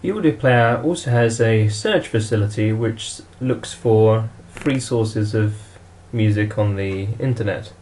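A man's voice: on the low side, unhurried, few pauses, darkish tone, slight background noise. A man narrating an instructional product walkthrough in a plain, matter-of-fact way, as a demonstrator.

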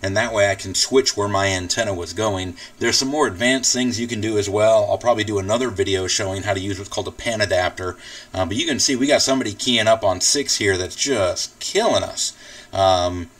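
An American man giving a casual, informal software tutorial as he explains things step by step. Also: lowish voice, fairly quick, no echo, far from the mic. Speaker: tutorial presenter, radio hobbyist. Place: indoors at a computer.

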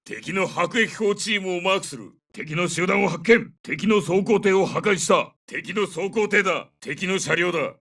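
A battle-hardened special forces captain from a shooter game, a middle-aged man. His voice is raised high and hard-edged, delivering short, urgent orders and reports with military authority. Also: lively intonation, normal speed, creaky, few pauses, fairly bright tone, husky, distant mic, full character voice. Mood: terse, alert. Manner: terse, commanding, urgent. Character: gruff bearded veteran male special forces captain. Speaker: video game soldier character. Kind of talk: Japanese game dub, short tactical callouts.

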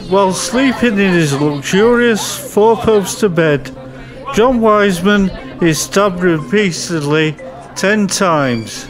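A man's voice: sits high, slow. A man narrating a scripted amateur radio play. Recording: music playing loudly over the voice.

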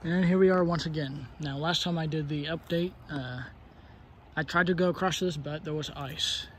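A man's voice: fairly high pitch, fairly even intonation, normal speed, slight background noise. A young man vlogging outdoors on a wooded trail, talking to a phone camera held at arm's length, rambling and hesitant with lots of fillers. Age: young adult. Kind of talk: casual outdoor vlog.

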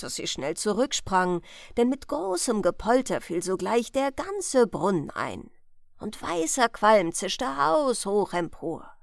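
Slow, expressive woman storyteller. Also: medium pitch, creaky, no echo, clean studio sound. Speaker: audiobook narrator. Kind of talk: bedtime fairy tale reading.

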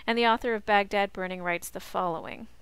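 A woman college instructor talking through slides in a measured, explanatory way and reading excerpts aloud. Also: mid-range voice, fairly even intonation, fairly bright tone, clean tone, no echo.

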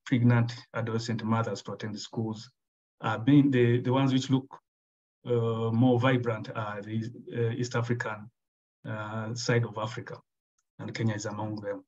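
A man's voice: on the low side, little pitch movement, normal speed, a little mellow. A man in his forties from Uganda giving a webinar lecture as a guest specialist, hesitant with many fillers and restarts. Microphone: video call. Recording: dull lo-fi call audio.

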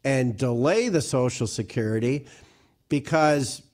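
A man in his mid-sixties explaining retirement rules in a steady, precise way. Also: medium pitch, measured pace. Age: 60s. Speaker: financial advisor. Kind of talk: explanatory advice.

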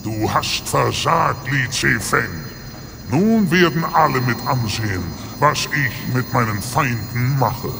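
An actor playing a menacing, gloating dragon demon who leads a cult, speaking solemnly and pompously in a radio play. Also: male voice, mid-range voice, lively intonation, takes it slowly, gravelly, background noise. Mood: gloating, threatening. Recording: long cavernous reverb, music underneath. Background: dramatic music.

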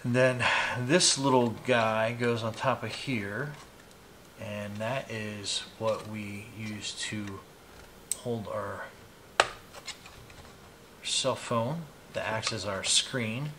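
A man in his fifties giving a casual, unhurried product demonstration, explaining each step informally as he goes. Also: fairly low pitch, fairly even intonation. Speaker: product reviewer demonstrating gadgets on his channel. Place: small indoor hobby room at a desk. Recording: clear background noise, clicks of handled items.

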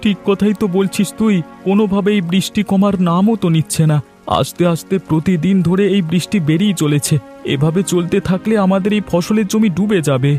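High-voiced village farmer husband acted by a man. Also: a little fast, a little mellow, close to an everyday voice. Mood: worried. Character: earnest village farmer, husband and elder brother. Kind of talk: cartoon dialogue acting. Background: background music.

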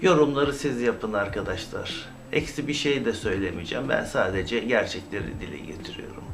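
A man giving informal, opinionated YouTube commentary in a halting, indignant, emphatic manner with rhetorical questions. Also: on the low side. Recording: clear background noise, amateur recording.

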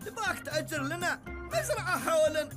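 A squeaky, whiny old Emirati cartoon man, always grumbling. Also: expressive, moderate pace, music in the background. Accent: Emirati Arabic. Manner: complaining, whiny. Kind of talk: Gulf Arabic cartoon dub.

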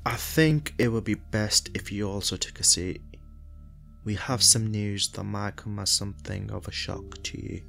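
Casting: male actor for green junior detective, lowish creaky voice, literal and official. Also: fairly even intonation, moderate pace, sharp tone, faint music behind, exaggerated voice. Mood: earnest. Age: young adult. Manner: formal, literal, earnest. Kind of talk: audio drama acting.

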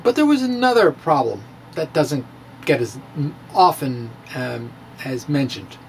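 A middle-aged man giving a theatrical reading of popular science, with drawn-out emphasis and pauses. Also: medium pitch, lively intonation, gravelly, a little hiss or hum, rough recording. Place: small room with bookshelves. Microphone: handheld-style microphone on a stand, close in front.

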